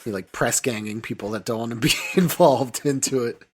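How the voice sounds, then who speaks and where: A man's voice: mid-range voice, brisk, breathy edge. A man co-hosting a podcast, talking casually and analytically in a discursive, hedging way. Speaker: podcast co-host.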